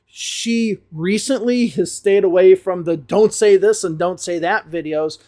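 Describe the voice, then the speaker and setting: An American man from the Chicago area, an English teacher giving a relaxed spoken review full of hedges. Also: high voice, a little slow. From Chicago area, USA. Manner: relaxed, talks things through with frequent hedges.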